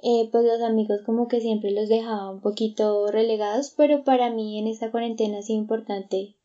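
A woman's voice: on the high side, steady tone, fairly quick, warm tone. Reflective young woman, dull audio. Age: 20s. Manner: reflective, many fillers and restarts. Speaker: guest. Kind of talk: casual conversation.

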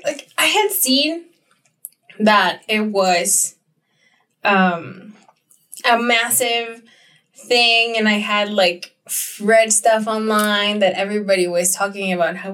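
A young woman co-hosting a podcast with a slightly raspy voice, chatting in an easygoing, rambling way. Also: mid-range voice, a bit bright, recorded from a distance. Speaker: podcast co-host. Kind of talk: casual chat.

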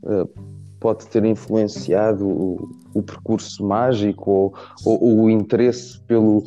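Dark-voiced, polite male interviewer. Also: lowish voice, steady tone, unhurried, soft background music. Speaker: podcast interviewer. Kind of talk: asking interview questions.

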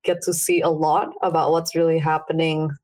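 A woman's voice: sits low, little pitch movement, normal speed, darkish tone. A young adult woman from Indonesia, a startup founder, earnestly explaining her background as an interview guest. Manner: earnest, explains at length with fillers. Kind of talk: casual interview answers.

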